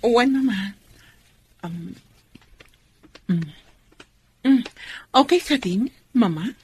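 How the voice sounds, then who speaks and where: A woman's voice: mid-range voice, lively intonation, extremely slow, darkish tone, breathy edge, heavily acted. A woman acting in a radio drama as a jealous, defiant wife and protective mother. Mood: compliant. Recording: faint background noise and ambience.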